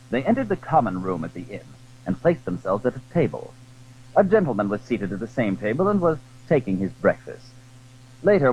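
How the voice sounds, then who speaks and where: A man's voice: mid-range voice, dull audio, slight background noise. A man narrating a classic adventure novel in dramatic, scripted storytelling style.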